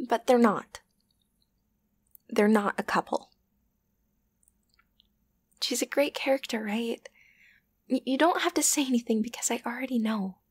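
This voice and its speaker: A woman voicing an obsessive, lovesick young yandere best friend in an ASMR roleplay, halting and intimate, often slipping into a whisper. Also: a little high, no echo. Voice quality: breathy, often dropping to a whisper. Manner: halting, intimate, wavering between tender and agitated. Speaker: voice actor.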